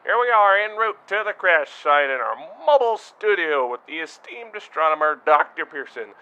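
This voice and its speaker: A man's voice: on the high side, sing-song, moderate pace, pushed and bright, clear, resonant tone. An actor playing an upbeat male radio field reporter who interviews a scientist in a chatty way. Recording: dull lo-fi recording.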